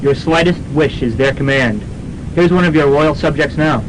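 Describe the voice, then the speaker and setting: Young man as a smug, highish-voiced American businessman. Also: normal speed, close to an everyday voice. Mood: smug, dry. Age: young adult. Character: dry, smug American businessman, mock-deferential. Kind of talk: campus radio comedy drama acting. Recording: noisy rumbling student radio recording.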